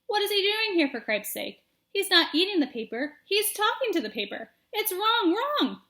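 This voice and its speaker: A woman acts out a young male mouse from a storybook, bossy and thoroughly fed up. The voice jumps up high and pushes hard on each point. He scolds in emphatic exclamations. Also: big pitch swings, moderate pace, pushed and bright, full character voice. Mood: exasperated.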